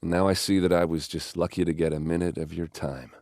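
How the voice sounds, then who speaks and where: A gruff fantasy game warrior captain, with a low voice. He is brash and loyal, a drinker who talks bluntly and jokes wryly in informal words. The sound is dry, with no room around it. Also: fairly even intonation, a little fast, a little mellow, dry recording. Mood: grave, subdued. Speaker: game character voice actor. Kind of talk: video game dialogue and combat lines.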